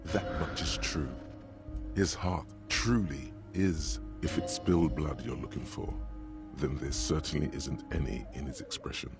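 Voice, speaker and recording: An actor playing a brooding inner voice in a detective video game, gravelly, slow, grave and ornate. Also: male voice, rumbling low, over music. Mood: grave, ominous.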